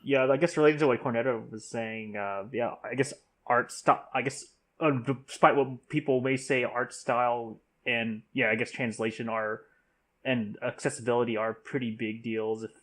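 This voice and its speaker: Halting man with a medium-pitched voice. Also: steady tone, fairly bright tone. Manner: halting, tentative, self-correcting. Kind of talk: casual podcast discussion.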